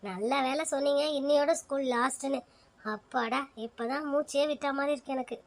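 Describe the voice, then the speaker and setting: A woman's voice: sits high, steady tone, brisk, gravelly. A woman playing a weary but agreeable junior schoolteacher in a school skit, chatty and prone to grumbling. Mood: grumbling, relieved.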